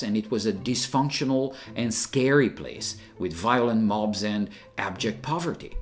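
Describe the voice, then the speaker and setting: An older man from Hungary, a retired teacher giving an emphatic, opinionated scripted narration. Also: mid-range voice.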